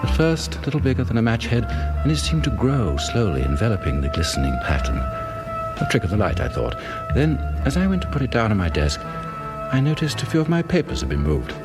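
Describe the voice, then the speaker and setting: An actor in his fifties with a British accent playing a fussy, brooding husband in a radio drama, measured and confiding. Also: mid-range voice, very animated, over loud music, close to an everyday voice. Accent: British English. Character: fussy middle-aged husband confessing a dark urge to kill.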